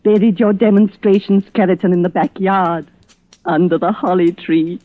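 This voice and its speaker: An actress playing a tender, anxious middle-aged wife, earnest and emotional in 1940s radio melodrama. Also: female voice, lowish voice, fairly even intonation, moderate pace, close to an everyday voice. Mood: apologetic. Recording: muffled, narrow old radio recording.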